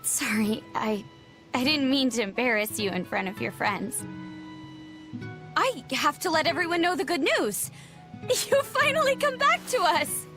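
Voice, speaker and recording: Very high-pitched cheerful village girl. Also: sing-song, measured pace, fairly bright tone, soft background music, exaggerated voice. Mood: joyful. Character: cheerful young village girl, warm, relieved childhood friend. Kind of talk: dramatic anime game voice acting.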